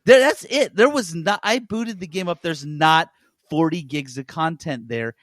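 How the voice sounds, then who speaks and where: A man's voice: on the high side, a little slow, a bit bright, dry recording. Casual man chatting about games, dry sound. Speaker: host of a gaming podcast. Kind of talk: casual gaming news chat.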